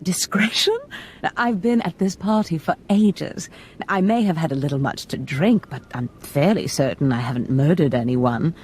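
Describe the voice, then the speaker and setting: A scheming dark lady from a video game, playful and falsely innocent. Her voice is low and mellow, with lively, theatrical swings and polite, honeyed phrasing. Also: moderate pace, slight background noise. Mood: feigned innocence.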